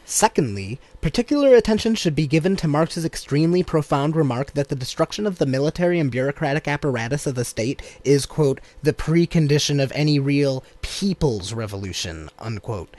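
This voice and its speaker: A man's voice: fairly high pitch, lively intonation, a little fast, hardly any pauses, a little mellow, no echo. Man reading a political text, dry sound. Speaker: audiobook reader.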